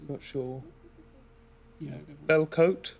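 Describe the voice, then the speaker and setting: A man's voice: fairly high pitch, extremely slow, a little mellow. A young man from England answering quiz questions hesitantly, thinking aloud. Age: young adult. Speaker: quiz contestant.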